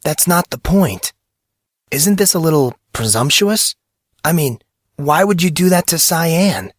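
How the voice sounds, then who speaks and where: A man's voice: a little high, expressive, unhurried, no echo, studio quality, voice pushed up. A man voicing an awkward, self-doubting teenage boy in an audio drama, stammering and exasperated. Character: awkward, flustered, self-doubting fourteen-year-old high school boy. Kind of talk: audio drama character acting.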